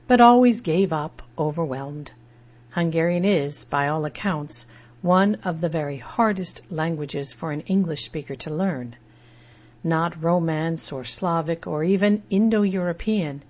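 A middle-aged woman reading a personal essay aloud in a measured, reflective way. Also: on the low side, a little mellow. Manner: measured and reflective, spells out words letter by letter. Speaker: essay narrator. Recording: dull lo-fi recording.

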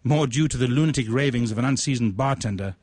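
A man reading his own literary short story aloud in a deliberate, measured, ornate manner. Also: mid-range voice, a little mellow, no room sound.

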